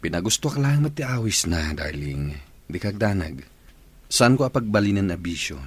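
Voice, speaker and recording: An actor playing an evasive, placating husband with a hesitant, soothing manner in an Ilocano comedy radio drama. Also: male voice, deep voice, big pitch swings, normal speed, husky, heavily acted. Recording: radio drama with loud music behind.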